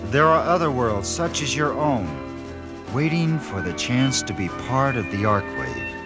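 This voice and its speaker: Earnest, expressive visionary councilman. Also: mid-range voice. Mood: hopeful, solemn. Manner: earnest, solemn, measured explaining. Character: idealistic royal councilman, visionary galactic peacemaker. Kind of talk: 1970s science fiction audio drama monologue. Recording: 1970s audio drama with music bed.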